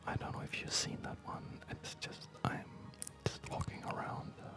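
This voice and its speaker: An ASMR gaming host speaking in a soft unvoiced whisper, rambling in a reflective, hesitant way, close to the microphone. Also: normal speed. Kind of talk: ASMR, unscripted rambling over gameplay. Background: music.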